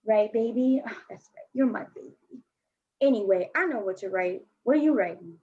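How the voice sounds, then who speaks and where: Woman acting mouthy defensive 14 yr old skater girl, high scratchy voice, slangy, dull lo-fi audio. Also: lively intonation, moderate pace, slightly rough. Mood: cocky, defensive. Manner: blunt, slangy, sarcastic. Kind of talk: staged play reading. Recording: dull lo-fi recording.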